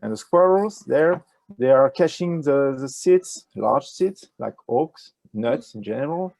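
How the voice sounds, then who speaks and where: An older man with a French accent talks in a gravelly, mellow voice. He answers in a halting way, full of fillers and restarts. The sound is dry, from a wired earphone mic on a video call. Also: mid-range voice, lively intonation, dry recording. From France. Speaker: ecology professor, interview guest. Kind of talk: casual interview answers. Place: indoors with a virtual nature backdrop.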